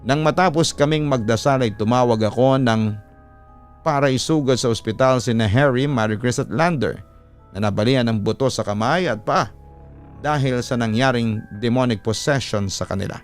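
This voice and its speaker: A man's voice: mid-range voice, normal speed, soft background music. A man, a radio announcer, reading a first-person horror story as dramatic narration.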